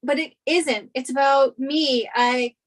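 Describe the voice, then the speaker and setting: Woman playing high-pitched, anxious, apologetic young woman. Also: fairly even intonation, slow. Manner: rambling, apologetic. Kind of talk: sitcom script table read.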